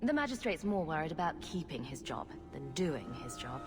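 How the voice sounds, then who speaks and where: A woman's voice: low voice, very animated, normal speed, big-room sound, music in the background. A woman playing a sarcastic, wisecracking rogue adventurer in a video game, with a dry, unimpressed manner. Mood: blunt. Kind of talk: video game dramatic acting.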